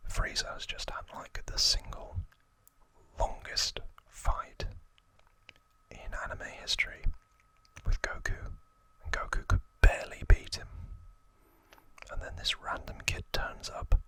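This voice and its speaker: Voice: unhurried, sharp tone. An ASMR host whispering an informal, conversational recap, close to the microphone. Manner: casual, informal recap with repeated words for effect. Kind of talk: whispered ASMR storytelling and trivia.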